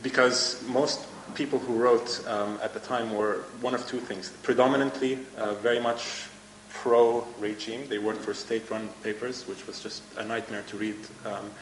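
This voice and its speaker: Halting low-voiced Egyptian man, Arabic native. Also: flat delivery, a little hiss or hum. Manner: halting, repeats words. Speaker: guest cartoonist. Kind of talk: live event interview. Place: event hall with audience. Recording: echoey room with faint ambience.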